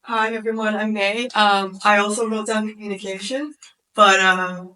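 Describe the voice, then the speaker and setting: Flat-toned woman, distant and hissy. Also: medium pitch, moderate pace. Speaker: workshop participant. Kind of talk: self-introduction at a workshop.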